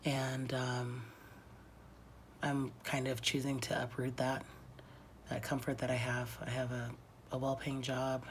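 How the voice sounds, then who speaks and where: Woman, thirties, very low and monotone, congested and whispery, hesitant reflective vlog talk. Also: a little hiss or hum. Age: 30s. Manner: halting, reflective, many pauses and fillers. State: congested, losing her voice. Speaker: vlogger. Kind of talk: casual confessional vlog.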